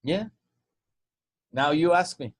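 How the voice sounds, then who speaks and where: A man's voice: on the high side, slow pace, slight background noise. A man from Mexico with a Spanish-tinged English accent, coaching on sales in casual chat, often restarting and repeating words. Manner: repetitive restarts, stumbling over words.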